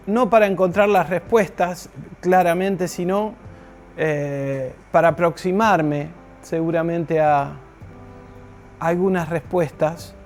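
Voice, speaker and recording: A man speaks reflectively as a writer, very slowly and with thoughtful hesitations. His voice sits a little high, and music plays underneath. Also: a little mellow. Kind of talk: reflective personal talk.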